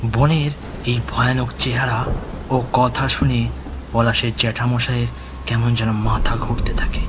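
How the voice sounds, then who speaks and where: A man narrating a scripted horror story dramatically, with suspenseful pauses between short phrases. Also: mid-range voice, noisy background. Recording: music, rain and thunder behind voice.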